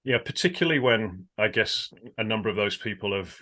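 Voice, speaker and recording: A man hosting a podcast in casual interview chat, a little hoarse today, friendly and prone to tripping over his words. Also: lowish voice, animated, fairly quick, bright, forceful tone. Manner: friendly, trips over words, repeats himself. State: slightly hoarse. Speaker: podcast host.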